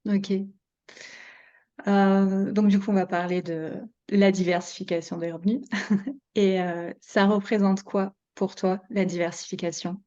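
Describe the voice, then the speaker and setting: A woman's voice: medium pitch, steady tone, unhurried, dark tone, muffled recording. A woman hosting a podcast and chatting with a guest. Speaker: podcast host and interviewer. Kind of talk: scripted intro, then casual interview chat.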